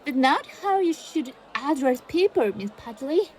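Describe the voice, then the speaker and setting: A patient young yokai woman played by a woman in an audio drama. She speaks slowly in a high, lively voice, politely chiding, over faint music. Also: slight background noise, poor audio. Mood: friendly, chiding. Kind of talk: radio drama acting.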